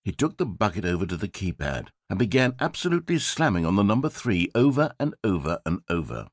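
A wry, theatrical game narrator. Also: male voice, deep voice, very animated, brisk, breathy edge, no room sound, studio quality, heavily acted. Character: sardonic, theatrical male storyteller.

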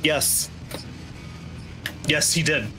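A young man with a slightly high voice talks casually through his live game run. He speaks haltingly, often restarting a phrase. Loud video game music plays behind him. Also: steady tone. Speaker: speedrunner. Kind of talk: casual live gameplay commentary. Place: indoor room at home, webcam stream. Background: video game music and vehicle-like game sounds.

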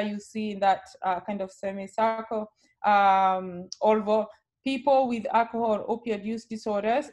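A woman's voice: medium pitch, a little mellow. Kenyan-accented woman presenting on a video call. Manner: friendly, explanatory, with frequent filler sounds. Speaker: nurse educator presenting. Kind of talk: webinar presentation.

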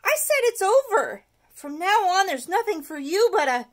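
A woman voicing a young boy with a sweet tooth, high and pleading. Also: lively intonation, slow, full character voice. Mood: determined. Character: whiny sugar-loving young boy.

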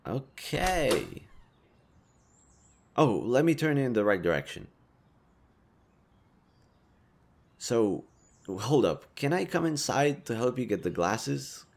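A man's voice: medium pitch, sing-song, slow pace, gravelly, full character voice. A man acting a kind, teasing young stranger who guides a lost girl, speaking casually to the listener in an intimate roleplay audio drama. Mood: casual, helpful. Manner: casual, playfully teasing, mildly awkward, swearing.

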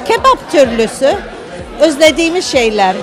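A woman's voice: sits high, big pitch swings, moderate pace, crowd noise. A middle-aged woman, a restaurant guest, answering questions in a friendly, approving way. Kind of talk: interview answers. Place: busy restaurant. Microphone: handheld mic. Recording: noisy restaurant with music.

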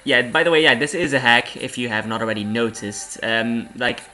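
A young man from Belgium with a cold and a hoarse voice, rambling through casual let's-play game commentary from home and laughing at his own jokes. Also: mid-range voice, steady tone, fairly quick, sharp tone, soft background music. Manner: rambling, self-deprecating, laughs often.

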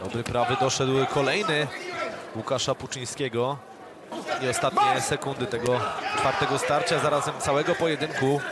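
A man giving live play-by-play boxing commentary, calling punches briskly. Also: mid-range voice, fairly even intonation, heavy background noise. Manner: brisk, factual calls of punches. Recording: commentary over a loud crowd.

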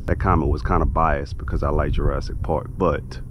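A man's voice: a bass voice, fairly even intonation, fairly quick, muffled recording, background noise. A man giving casual, laid-back, folksy commentary to viewers as a fishing vlogger, outdoors on a boat in a marsh.

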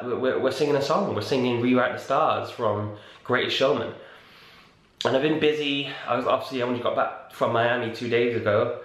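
A young man talking casually to a handheld camera, chatty and animated with lots of filler words. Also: medium pitch, reverberant, poor audio. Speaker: vlogger and stage actor. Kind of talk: casual vlog chat. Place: hotel room and corridor indoors, garden outdoors. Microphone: camera held at arm's length.

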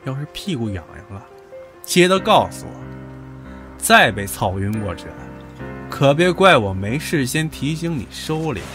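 A man's voice: medium pitch, big pitch swings, moderate pace, fairly bright tone, soft background music. An actor playing a crude, vindictive young man who makes taunting threats in an audio drama. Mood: threatening. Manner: menacing, taunting. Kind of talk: modern audio drama acting.

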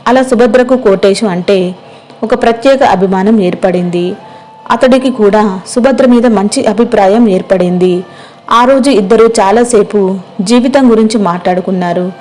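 Mellow-voiced woman narrating a story. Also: mid-range voice, normal speed. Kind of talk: scripted moral story narration.

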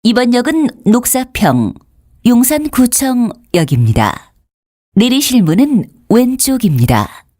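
High-pitched melodic female subway announcer. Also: sing-song, measured pace, dark tone, no echo, clean studio sound. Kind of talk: scripted station announcement.

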